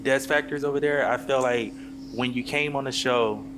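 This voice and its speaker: A man on a panel who talks haltingly, often restarting and repeating words. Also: medium pitch, steady tone, noisy background. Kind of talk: casual panel chat.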